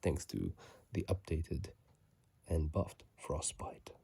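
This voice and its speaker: A video host whispering softly in a breathy, hushed voice, reading aloud and adding casual commentary in an ASMR style, close to a phone mic. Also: extremely low pitch, animated, slow pace, no room sound. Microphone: phone mic held close.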